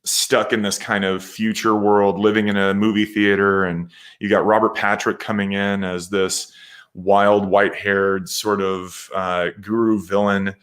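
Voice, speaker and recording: Low-voiced enthusiastic man in casual chat. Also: flat delivery, a little slow, fairly bright tone. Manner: enthusiastic, nostalgic. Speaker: guest panelist.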